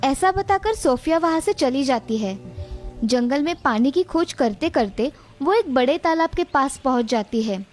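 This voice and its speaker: Female narrator, high pitch, scripted children's storytelling, faint music behind. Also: expressive, unhurried.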